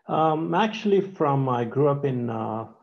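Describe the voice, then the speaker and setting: Male guest from India, gravelly, halting answers, dull lo-fi line. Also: medium pitch, expressive. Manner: hesitant, many fillers, soft trailing endings. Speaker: guest, company founder. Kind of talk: casual interview answers. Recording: dull lo-fi sound.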